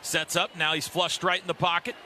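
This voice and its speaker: A male radio play-by-play announcer with a fairly high voice calls live football. He speaks in clipped, vivid phrases that swell with the action. Clear stadium noise runs behind him. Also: level tone, a little fast, bright, forceful tone. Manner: clipped, vivid running description that swells on big plays. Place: stadium broadcast booth.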